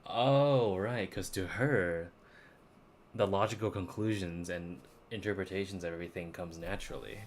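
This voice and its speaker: A man's voice: deep voice, moderate pace, a little hiss or hum. A man giving casual, rambling live game-stream commentary, chatting loosely and often repeating his words.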